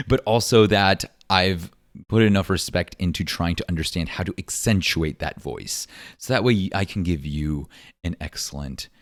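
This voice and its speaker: A man with a deep baritone voice, hosting his own podcast and musing earnestly with many ums and likes. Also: no room sound, clean studio sound. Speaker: podcast host. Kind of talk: solo podcast monologue. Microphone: podcast microphone.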